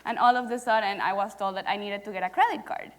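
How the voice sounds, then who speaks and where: A woman with a medium-pitched voice talks on a panel. She tells her story in an animated, playful way and engages the audience directly. She is miked with a lapel on an event stage. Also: quick pace. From Venezuela. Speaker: startup founder on a panel. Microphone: lapel mic.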